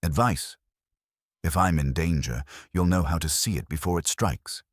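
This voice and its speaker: A man voicing an anxious, guarded high-society woman in an audiobook mystery, speaking earnestly and formally with a faltering manner. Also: normal speed, dry recording, studio quality. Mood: pleading.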